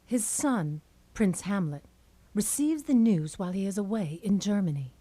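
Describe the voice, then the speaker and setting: A woman's voice: mid-range voice, lively intonation, normal speed, noisy background. A woman narrating a puppet play as a scripted storyteller. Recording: music behind the voice. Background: clear background music.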